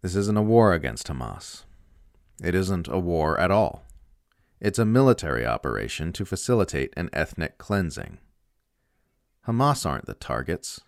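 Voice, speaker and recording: Male narrator for written commentary, deep register, dry studio-like sound. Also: sing-song, normal speed, vocal fry, no room sound. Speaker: essay reader. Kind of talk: scripted narration of a political essay.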